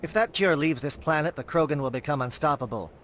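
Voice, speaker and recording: An actor playing a stern, brisk military alien captain in a video game. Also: male voice, on the high side, animated, fairly bright tone, a little hiss or hum. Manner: brisk, formal military delivery.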